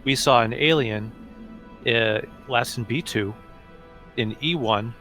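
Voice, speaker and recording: A man's voice: mid-range voice, slow, pushed and bright, faint music behind. A man voicing an android that reports threats in clipped, formal, emotionless phrases in a tabletop game. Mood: flat, clipped.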